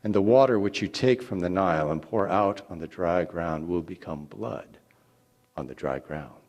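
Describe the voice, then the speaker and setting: A man voicing a solemn, commanding male God who speaks with deliberate authority in a dramatic scripture reading. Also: sits low, a little mellow. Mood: instructing.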